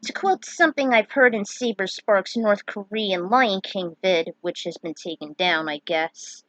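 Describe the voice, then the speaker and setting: A woman's voice: mid-range voice, unhurried, a bit bright, no room sound, low-quality recording. A woman giving casual, opinionated commentary as a fan fiction author, with a blunt way of talking. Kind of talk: casual author's commentary with some story reading.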